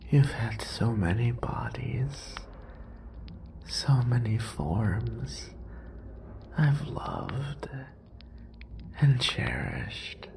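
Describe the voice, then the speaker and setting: Man voicing a obsessive male yandere, very slow, low creaky whisper, tender yet murderous, horror audio drama, noisy ambience. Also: animated, exaggerated voice. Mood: dying, tender. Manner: slow, halting, intimate fragments with sighs. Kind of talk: scripted horror roleplay audio acting.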